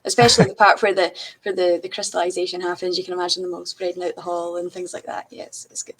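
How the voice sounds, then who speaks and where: Woman guest chatting through an explanation, raspy with lots of vocal fry. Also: fairly low pitch, flat delivery, fast talker. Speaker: guest researcher. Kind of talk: casual explanatory chat.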